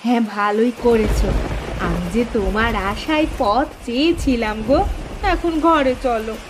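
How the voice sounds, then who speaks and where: A very high, lilting young cartoon wife acted by a woman coos tenderly. She sounds devoted and eager, with faint rain-like noise behind her. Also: expressive, measured pace. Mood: loving. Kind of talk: cartoon dialogue acting.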